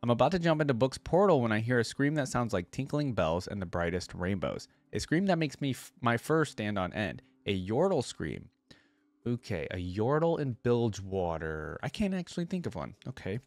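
A man's voice: lowish voice, no room sound. A young man hosting a reaction video, reading a story aloud and adding casual commentary, close on a desk microphone. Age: young adult. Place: indoor room at a streaming setup.

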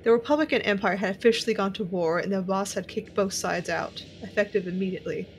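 A woman's voice: mid-range voice, fast, slight background noise, close to an everyday voice, low-quality recording. A woman playing a tense, watchful young intelligence agent, narrating her own story in an audio drama. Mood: grim, urgent. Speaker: narrator and lead character. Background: music.

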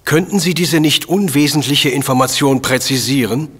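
An actor playing a brilliant, pedantic professor detective in a radio play, formal and precise. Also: male voice, fairly high pitch, normal speed, fairly bright tone, close to an everyday voice. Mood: politely formal. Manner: formal, precise, pompous wording. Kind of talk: German radio play acting. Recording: reverberant room, music behind.

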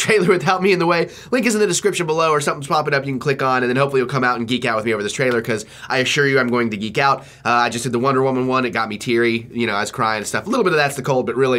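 Young man, head cold, stuffy, gushing and excitable, small home studio room. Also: medium pitch. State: stuffy, losing his voice from a cold. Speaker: trailer reaction vlogger. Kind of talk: excited casual reaction talk.

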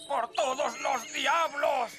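A man's voice: very high-pitched, takes it slowly, bright, forceful tone, soft background music, full character voice. A man voicing a brutish, furious knight who bellows threats in a cartoon. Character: brutish furious knight Front de Beef, bullying. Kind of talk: cartoon dub acting.

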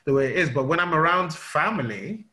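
A man's voice: on the high side, animated, brisk, pushed and bright. A man in his fifties with a London accent, interviewing over a video call with many fillers and restarts. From United Kingdom. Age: 50s. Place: indoor room at home. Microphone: video call webcam.

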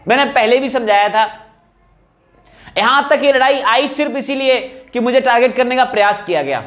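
A man's voice: very high-pitched, lively intonation, normal speed, a bit bright. A man speaking insistently in an informal update to exam candidates, like a coaching teacher appealing to students. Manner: insistent, repeating rhetorical questions and appeals.

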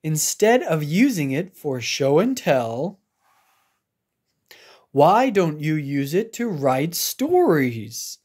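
An American woman voicing a kindly, encouraging male bear schoolteacher in a storybook, gentle and measured. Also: low-pitched, big pitch swings, breathy edge, full character voice.

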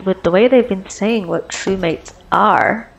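A woman's voice: medium pitch, unhurried, gravelly, slightly rough, low-quality recording. A woman playing an anxious, socially awkward young woman with a crush in an ASMR roleplay, stammering and rambling nervously. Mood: anxious musing. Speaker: audio roleplay performer. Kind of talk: ASMR girlfriend roleplay acting.

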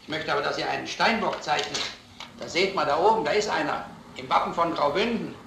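An actor playing an inquisitive, patient, businesslike detective in a German crime drama. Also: male voice, on the high side, fairly quick, creaky, bright, forceful tone, echoey room, slight background noise. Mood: coaxing. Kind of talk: German film-style radio drama dialogue.

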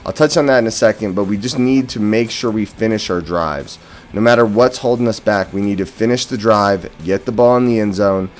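An American man giving casual post-game football commentary as a fan and host, opinionated and emphatic on key words. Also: on the low side. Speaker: sports podcast host and fan. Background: background music.

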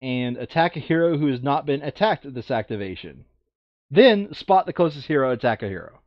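A man's voice: mid-range voice, animated, fairly quick, no room sound. Man, casual game chat, instructive, insistent, dry close sound, lo-fi. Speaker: tabletop game player reading out enemy moves. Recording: dull, lo-fi microphone sound.

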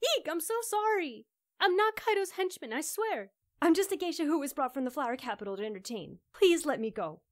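A woman's voice: high-pitched, very animated, a little fast. A woman playing a delicate, frightened young geisha, pleading and indignant, in a fan-made manga drama.

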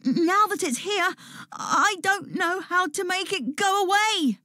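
A man's voice: very high voice, slow pace, pushed and bright, no room sound, studio quality, pitched above the performer's own voice, heavily acted. A timid, worried little boy. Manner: hesitant, stammering.

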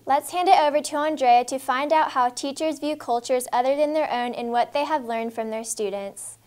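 High-pitched teen girl anchor, upbeat, reads from script. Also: normal speed, a little mellow. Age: teens. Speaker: student news anchor. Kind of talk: scripted news reading. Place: school studio set.

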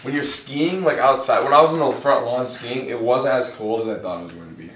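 A young man vlogging in a casual, rambling way, with lots of restarts and a lot of excitement. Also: medium pitch, a little fast, echoey room, recorded from a distance, a little hiss or hum. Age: young adult. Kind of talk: casual vlog chat. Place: house indoors, then snowy yard outdoors.

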